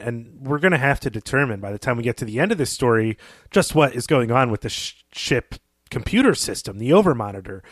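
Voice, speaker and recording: A man's voice: mid-range voice, no room sound. Male podcast host, mid-range voice, conversational literary analysis, dry studio-close sound.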